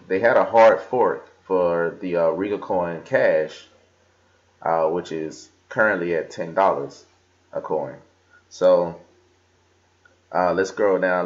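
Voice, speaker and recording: A young man with a very low, deep voice talks loosely at his desk, full of ums and restarts. The sound comes through a slightly dull computer microphone. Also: fairly even intonation, measured pace. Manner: casual, filler-heavy, talks while scrolling and reading aloud. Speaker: crypto vlogger. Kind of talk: casual screen-recorded walkthrough. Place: indoors at a desk.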